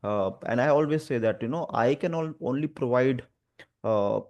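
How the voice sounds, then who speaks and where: A man with an Indian accent speaks conversationally in a mid-pitched voice. He stammers, repeating sounds and pausing often, with halting, earnest phrasing as he shares his experience as an advocate. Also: a little mellow, highs cut off. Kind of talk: personal talk about lived experience, conversational.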